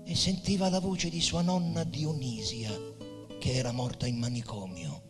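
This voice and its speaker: Casting: male stage narrator, mid-pitched, solemn and hushed, hall reverb. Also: fairly even intonation, moderate pace. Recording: hall reverb with guitar music behind.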